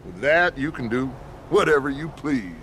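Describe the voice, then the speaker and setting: An actor playing a commanding middle-aged outlaw gang leader in a video game, with an American accent and a deliberate, grandiose manner. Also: male voice, medium pitch, very animated, fairly bright tone, slight background noise. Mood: assured, scheming. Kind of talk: dramatic video game cutscene acting.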